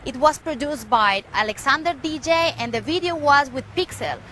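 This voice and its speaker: A woman's voice: sits high, animated, moderate pace, pushed and bright, a little hiss or hum. A young woman from Medellín, a singer with a Colombian Spanish accent, talking earnestly in English as her second language and searching for words, interviewed outdoors in a garden. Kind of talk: casual interview.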